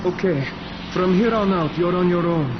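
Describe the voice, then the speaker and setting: Actor as Hazan, higher-voiced smuggler guide. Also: male voice, normal speed, highs cut off, background noise, lightly acted. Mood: cordial, brisk. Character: Hazan, friendly but guarded male smuggler guide. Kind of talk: video game cutscene acting.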